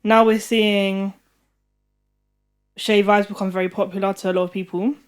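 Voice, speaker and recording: A woman's voice: medium pitch, level tone, moderate pace, smooth. A woman, co-hosting a casual music podcast, chatty and full of questions. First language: not English. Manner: chatty, asks lots of questions, says "do you get what I'm saying". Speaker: podcast co-host. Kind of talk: casual chat.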